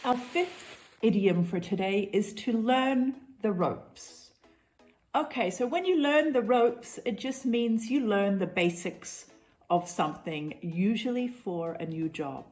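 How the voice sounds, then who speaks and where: New woman, middle-aged English teacher, unhurried and clear, mid-pitched voice. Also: darkish tone. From New Zealand. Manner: clear, measured explanations with repeated examples. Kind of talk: online English lesson.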